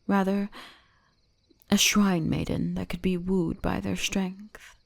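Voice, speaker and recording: A woman plays a seductive fox-spirit shrine maiden who devours souls, speaking very slowly with a creaky edge. She is teasing and gently dominant in an ASMR roleplay, often sinking into whispers. The sound is close and dry. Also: fairly low pitch, level tone, no echo, full character voice. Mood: smug, teasing. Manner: slow, intimate, teasing, often dropping into whispers.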